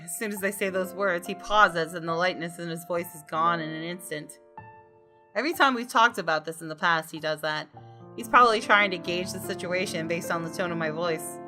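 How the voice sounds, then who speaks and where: A fairly low, bright female voice over game music. She is a streamer reading a visual novel's narration aloud, expressively and with some drama. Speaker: gaming streamer. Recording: music behind the voice.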